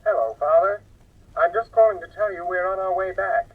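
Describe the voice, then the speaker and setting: A cool, formal young son in a vintage radio play. The high, husky voice is squeezed through a tinny phone-like videophone line. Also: male voice, a little fast. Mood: cool, brisk. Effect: telephone-like videophone filter. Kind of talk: 1950s radio drama acting.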